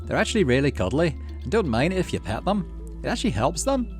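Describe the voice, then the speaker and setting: A folksy, upbeat farmer dad from a visual novel, acted out by a man, pitched well up with lively swings. He explains things to his kid in a chummy, cheerful way over music. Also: quick pace. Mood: enthusiastic. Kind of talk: visual novel character read aloud.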